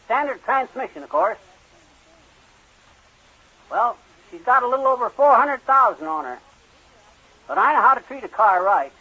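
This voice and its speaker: A folksy, argumentative husband from a vintage radio comedy. His high voice swings widely in pitch as he blusters and stubbornly defends his penny-pinching ways. The recording is dull and old. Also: animated, normal speed, long pauses, sharp tone, slightly rough, full character voice. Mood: loud, eager, indignant. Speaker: comic lead in a radio sitcom.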